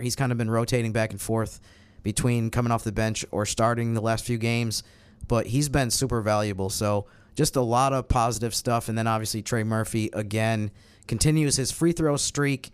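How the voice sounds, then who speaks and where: A man's voice: mid-range voice, clean tone, no echo. A man co-hosting a sports podcast, giving earnest, detailed, conversational basketball analysis. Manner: earnest, detailed, stat-heavy explaining.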